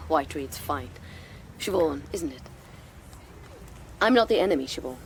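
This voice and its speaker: A woman's voice: low-pitched, expressive, unhurried, slight background noise. An actress playing a female military investigator in a radio drama, speaking in a pointed, insinuating, taunting manner.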